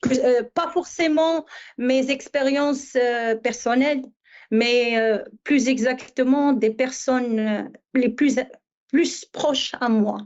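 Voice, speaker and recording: A woman in her fifties from Morocco, a poet answering interview questions hesitantly, with many pauses and fillers. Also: sits high, fairly even intonation, a little mellow, dull audio. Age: 50s. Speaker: poet guest.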